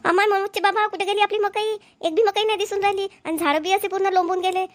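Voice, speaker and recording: A woman's voice: very high-pitched, fairly even intonation, fast talker. A woman voicing a fretful, doting farm wife in a village cartoon, plaintive and repetitive. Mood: upset, scolding. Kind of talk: Marathi village cartoon comedy dialogue.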